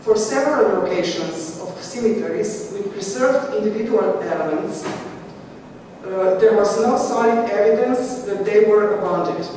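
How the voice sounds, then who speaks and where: A woman's voice: mid-range voice, warm tone, slight background noise. A woman with a Slovenian accent, a conservator giving a conference presentation in English, hesitant with many fillers and restarts. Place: conference hall. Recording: echoey hall heard from far off.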